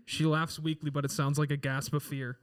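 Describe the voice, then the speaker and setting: Male host-narrator, slightly high pitch, casual comedic table read. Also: little pitch movement, fairly quick, heavy background noise.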